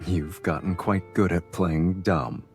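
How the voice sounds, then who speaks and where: A man playing a suave, devoted lover who teases gently and intimately in a romantic audio drama. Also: fairly even intonation, slow pace, soft background music. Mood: tender, teasing. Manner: low-key, teasing, intimate. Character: suave, teasing, devoted adult male lover.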